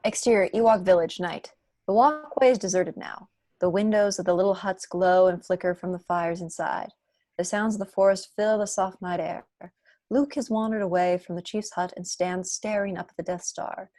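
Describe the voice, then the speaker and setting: A woman's voice: mid-range voice, fairly even intonation, moderate pace, dark tone, no echo. A woman reading scripted stage directions as the narrator of a table read on a video call. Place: indoor room on a video call.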